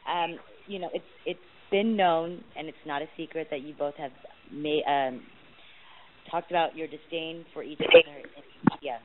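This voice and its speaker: A woman's voice: low-pitched, normal speed. Woman reporter on a hissy phone line. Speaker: reporter asking questions. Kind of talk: press conference call questioning. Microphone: phone call.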